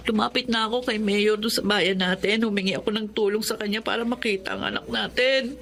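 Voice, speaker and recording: A woman's voice: medium pitch, steady tone, a little fast, vocal fry, fairly bright tone, slight background noise. An actress playing a remorseful, devoted wife and mother, earnest and emotional, in a Tagalog radio drama. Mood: tearful pleading.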